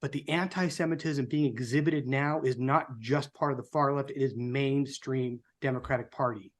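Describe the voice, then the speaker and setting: A man's voice: medium pitch, fairly even intonation, normal speed. A sixty-year-old American man giving opinionated, rhetorical political commentary as co-host of a live talk show.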